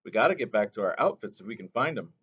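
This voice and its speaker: A man voicing an earnest, protective young soldier who talks quietly. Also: on the low side, big pitch swings, quick pace, slightly rough. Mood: worried. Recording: dull lo-fi recording.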